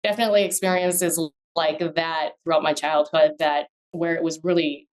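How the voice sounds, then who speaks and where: A woman's voice: low-pitched, fairly even intonation, normal speed. A woman in her forties, born in Vietnam and raised in the US, talking as an attorney guest in a casual interview, thoughtful and full of fillers and restarts. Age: 40s. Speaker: guest, real estate attorney.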